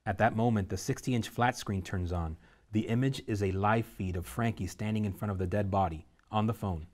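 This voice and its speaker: A man reading a screenplay's stage directions aloud at a table reading, steady and vivid. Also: lowish voice, brisk.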